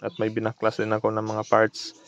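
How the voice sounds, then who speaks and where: A man's voice: fairly low pitch, monotone, fairly quick, vocal fry, muffled recording. A man narrating a casual repair vlog in a plainspoken way, mixing in English terms. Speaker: electronics repair technician and video host.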